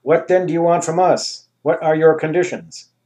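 A man doing a halting, nervous statesman. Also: on the high side, a little mellow, pitched above the performer's own voice, natural voice. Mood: pleading. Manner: halting, pleading questions. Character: nervous male Secretary of State, middle-aged. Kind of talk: comic storybook reading.

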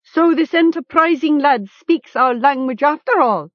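A woman's voice: high voice, unhurried, dry recording, highs cut off, voice pushed up. A woman voicing a mocking male chieftain in a storybook, theatrical and accusatory. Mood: accusing triumph. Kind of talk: storybook character acting.

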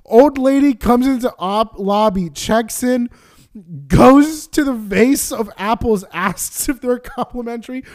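A man from Florida who co-hosts a podcast in casual chat, with a raspy, hoarse voice from talking too much and a profane, rambling way of telling stories. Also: very high voice, measured pace. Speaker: podcast co-host.

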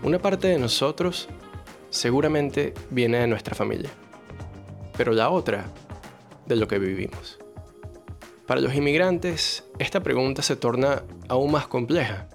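A thirty-five-year-old man hosting an interview podcast, narrating in a measured way and chatting casually with his guest. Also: mid-range voice, soft background music. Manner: calm, measured narration; easygoing in chat. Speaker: podcast host.

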